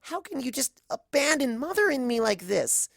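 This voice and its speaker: A storybook filly, played by a man, with a voice pitched well above the reader's own. The young pony sounds hurt and defiant, pleading indignantly in a close, dry recording. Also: sing-song, moderate pace, vocal fry, fairly bright tone, no echo, exaggerated voice. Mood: tearful pleading.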